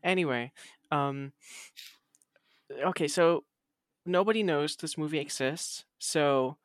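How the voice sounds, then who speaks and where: A man's voice: on the high side, takes it slowly, clear, resonant tone, no room sound, studio quality. A man hosting a podcast, telling a rambling, hesitant story in casual chat. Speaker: podcast host.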